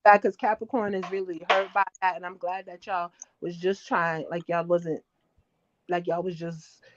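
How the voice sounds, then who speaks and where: An American woman speaking casually in an online group call, explaining herself earnestly and haltingly. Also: fairly low pitch, level tone, noisy background. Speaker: participant in a group live stream. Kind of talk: casual chat. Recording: dull lo-fi live-stream audio.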